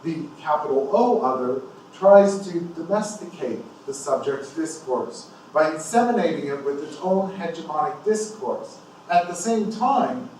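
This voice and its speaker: A man's voice: a little high, a little mellow. Echoey, distant man reading an academic paper. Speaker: academic presenter reading another scholar's paper aloud. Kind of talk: formal academic paper read aloud, with brief asides. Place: indoor university conference room. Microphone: distant from the speaker. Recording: echoey room, distant mic, faint noise.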